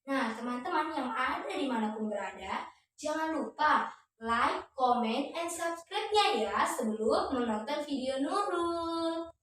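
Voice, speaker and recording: High-voiced young woman storyteller, very echoey. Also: measured pace. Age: young adult. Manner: expressive, often addresses the audience as friends. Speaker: student storyteller. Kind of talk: children's storytelling addressed to viewers. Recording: echoey room, distant microphone.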